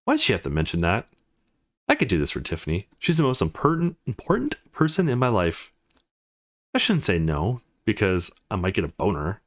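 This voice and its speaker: A man's voice: fairly low pitch, wildly swooping pitch, normal speed. A man voicing an awkward, nervous young male protagonist of a visual novel, as if muttering his inner thoughts. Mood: conflicted.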